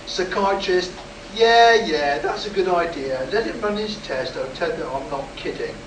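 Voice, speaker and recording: An actor playing a shaken, insistent test pilot in a live sci-fi radio play, with dramatic, urgent delivery. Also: male voice, sits high, animated, normal speed. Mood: defensive. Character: agitated, insistent rocket test pilot shaken by an alien encounter. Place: live performance in a room at a convention. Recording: roomy, distant, noisy live convention stage recording.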